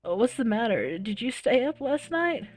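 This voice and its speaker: A woman's voice: on the high side, very animated, measured pace, darkish tone, faint music behind. A woman voicing an impatient, bossy young sibling who scolds insistently in a visual novel read-aloud. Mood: nagging.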